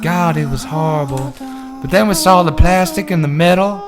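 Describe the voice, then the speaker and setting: A woman's voice: low-pitched, music in the background, voice pushed down, close to an everyday voice. A woman playing a folksy female survivor, recounting a horrific event in a colloquial dramatic reading. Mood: horrified, ominous. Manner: folksy, colloquial, drawn-out storytelling. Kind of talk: dramatic reading of a first-person eyewitness account.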